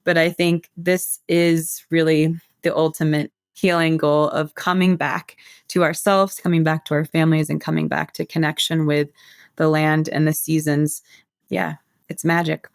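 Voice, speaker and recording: Earnest American woman herbalist, lower register, close dry mic. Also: flat delivery, normal speed, creaky, no echo. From United States. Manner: earnest, flowing explanation with many "like" fillers. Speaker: herbalist guest. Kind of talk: casual podcast interview.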